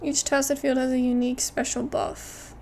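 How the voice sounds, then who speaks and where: Creaky, high-voiced young woman gamer. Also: level tone, normal speed, darkish tone, slight background noise. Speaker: gameplay streamer. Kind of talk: casual gameplay commentary.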